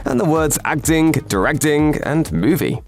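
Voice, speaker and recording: A man's voice: on the high side, expressive, measured pace. A man narrating a scripted video essay in a sardonic, emphatic way. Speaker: voiceover narrator. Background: background music.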